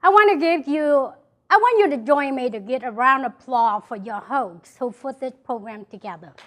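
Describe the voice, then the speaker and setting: A woman's voice: high voice, lively intonation, moderate pace, a bit bright. A woman from South Vietnam with a Vietnamese accent, giving an emphatic motivational speech to a live audience and repeating key phrases. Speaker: motivational speaker. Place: event hall before an audience.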